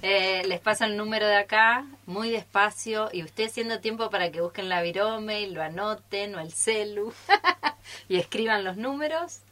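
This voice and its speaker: Bright-voiced Argentine woman chatting on radio. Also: mid-range voice, moderate pace. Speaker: radio theatre troupe member introducing the group. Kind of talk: informal live radio chat. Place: radio studio booth.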